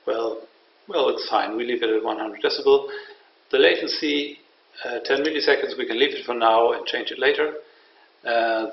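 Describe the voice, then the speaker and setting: A man giving an unscripted software tutorial in a measured, matter-of-fact and slightly hesitant way. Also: mid-range voice, vocal fry. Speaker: software demonstrator. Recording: roomy, distant mic, dull, faint noise.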